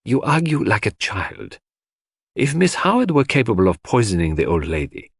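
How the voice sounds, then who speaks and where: A man's voice: mid-range voice, no echo, clean studio sound. A man playing a shrewd, irritable detective, emphatic and swooping. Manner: precise, emphatic, didactic.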